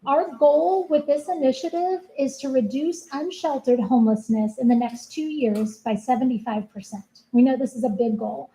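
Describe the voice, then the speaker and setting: A soft-spoken woman walks a community meeting through a city plan. Her voice sits high and is very bright. She talks steadily and earnestly. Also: normal speed, hardly any pauses, rough recording. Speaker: city homelessness program manager. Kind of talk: public presentation at a town hall.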